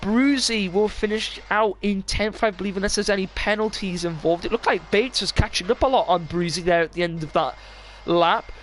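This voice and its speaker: A sim racing commentator calling a live league race with excitement, voice going strained and hoarse, often quizzing the co-host. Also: medium pitch, moderate pace, slight background noise. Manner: excitable, asks co-host questions. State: straining, losing voice.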